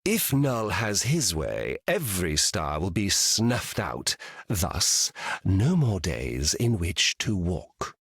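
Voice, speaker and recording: An actor playing a solemn, grandiose legendary warrior in a video game, speaking formally and deliberately in a husky voice. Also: male voice, sits low, sing-song, a bit bright, no room sound, clean studio sound, heavily acted. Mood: solemn, proud.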